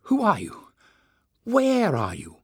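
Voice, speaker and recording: A man voicing a brave young forest woman, her questions pitched high, urgent and breathless. The read is husky and dry, close on the mic. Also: big pitch swings, normal speed, a bit bright, no room sound. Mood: startled.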